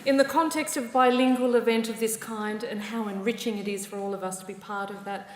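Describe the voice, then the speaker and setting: A woman with an Australian accent giving a formal address to graduates at a ceremony, measured with wry asides. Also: fairly high pitch, fairly even intonation. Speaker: university ceremony presider. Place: large ceremony hall. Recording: echoey hall reverb.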